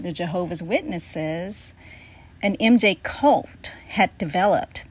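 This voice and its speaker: A middle-aged woman thinking aloud in a halting, casual way as she comments on a scrapbook for a vlog. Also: medium pitch. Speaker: vlogger, memoir author.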